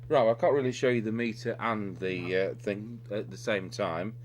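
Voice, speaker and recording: A man's voice: on the low side, normal speed. A man giving an unscripted, methodical technical demonstration, thinking aloud as he works at the bench. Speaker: electronics repair demonstrator, presenter. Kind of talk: unscripted hands-on technical walkthrough. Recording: clearly noisy recording with electrical hum. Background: steady hum and noise.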